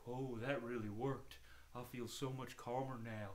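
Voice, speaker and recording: A man voicing a friendly, excitable hound dog puppet for children, with eager, stammering repeats. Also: on the high side, normal speed. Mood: calmed down.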